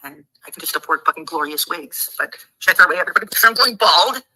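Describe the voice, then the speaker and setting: Husky woman in a bitter rant. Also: fairly low pitch, animated, fast, sharp tone, low-quality recording. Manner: bitter, sarcastic, profane. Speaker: livestreamer in a played clip. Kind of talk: ranting livestream monologue.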